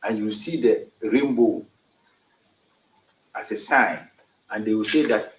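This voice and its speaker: A man slowly explaining, recorded at a distance in an echoey room. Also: on the low side.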